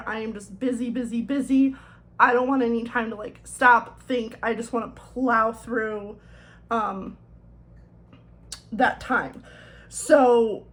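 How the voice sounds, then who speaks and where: A woman chatting casually as she decorates a planner, her voice a little thick from swollen tonsils, rambling in self-deprecating asides. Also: a little high. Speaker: planner vlogger.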